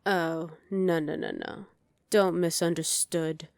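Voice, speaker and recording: A woman's voice: fairly low pitch, steady tone, slow pace, gravelly, dry recording, studio quality. A woman playing a dominant evil sorceress queen in an ASMR roleplay, commanding and condescending with cool menace. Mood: scheming. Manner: commanding, condescending, coolly threatening.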